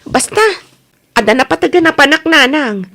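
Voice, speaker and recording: Actress doing broke young filipino working girl, really high lively voice, pleady and defensive, radio comedy drama. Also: moderate pace, full character voice. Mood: pleading, evasive. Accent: Philippine.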